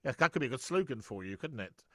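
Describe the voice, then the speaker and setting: A man's voice: medium pitch, animated, fast, sharp tone, no echo. A middle-aged man hosting an interview, chatty and rambling with frequent stumbles and restarts. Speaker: host. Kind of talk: casual interview chat.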